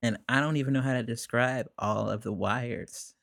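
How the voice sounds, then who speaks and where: A young man, a musician guest, telling stories in a relaxed, rambling way, with many "like"s and frequent laughs. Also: medium pitch, moderate pace, creaky, no room sound, studio quality. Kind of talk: casual conversation.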